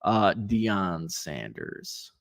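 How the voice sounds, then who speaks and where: A man's voice: low voice, sing-song, very slow, vocal fry. A man in his forties, a sports card seller hosting his own show, thinking aloud in casual, rambling solo talk full of restarts.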